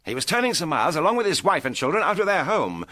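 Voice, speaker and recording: An actor playing a breezy, unflappable British gentleman adventurer, wordy and jaunty, in a tongue-in-cheek vintage detective audio drama. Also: a little high, very animated, fast. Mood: grave recounting. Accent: British English.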